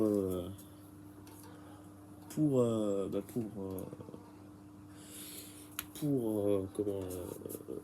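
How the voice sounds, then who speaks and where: A man's voice: fairly low pitch, mellow, a little hiss or hum. Man with a cold, hesitant and rambling. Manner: hesitant and rambling, many fillers. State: has a head cold. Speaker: bike repair tutorial maker. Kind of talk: casual how-to explanation.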